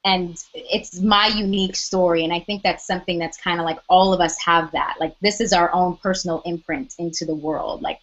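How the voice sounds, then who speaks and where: A young adult woman with a loud speaking voice, chatting animatedly as an interview guest, full of "like" and quoted asides. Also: lowish voice, fairly even intonation, moderate pace, hardly any pauses, lo-fi sound. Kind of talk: casual interview chat.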